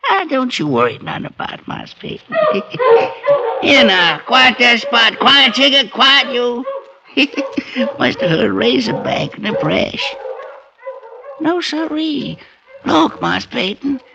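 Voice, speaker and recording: An actor playing a kindly, simple freed slave with a Southern US accent and a raspy voice in an old radio drama, folksy and deferential. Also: male voice, sing-song, slow pace, long pauses, heavily acted. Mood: forgiving, excitable. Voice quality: high, cracked, raspy. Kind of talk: 1940s-50s radio drama acting. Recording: dull vintage radio recording.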